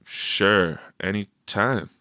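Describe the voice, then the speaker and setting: A man voicing a nervous seventh-grade boy in a story, halting and mumbling as he tries to bluff. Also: on the low side, pushed and bright, no echo, studio quality, full character voice. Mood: sheepish. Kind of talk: audiobook character voice.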